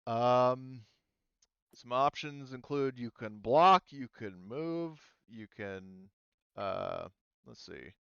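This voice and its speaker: Clear-voiced male game master, slightly muffled mic. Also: mid-range voice, takes it slowly, a bit bright, dry recording. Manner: thinks aloud while looking up rules. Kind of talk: running a tabletop roleplaying game, casual explanation.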